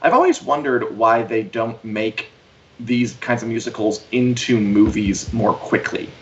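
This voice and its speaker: Animated man chatting, slightly noisy dull audio. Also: on the low side, unhurried. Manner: opinionated, emphatic rhetorical questions. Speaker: co-host of an entertainment news live show. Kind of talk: casual chat. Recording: dull livestream audio with faint noise.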